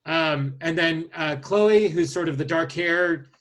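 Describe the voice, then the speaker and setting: Guy chatting on a podcast, bit higher voice, kinda halting as he goes. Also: a bit bright. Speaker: podcast co-host. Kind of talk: casual podcast chat.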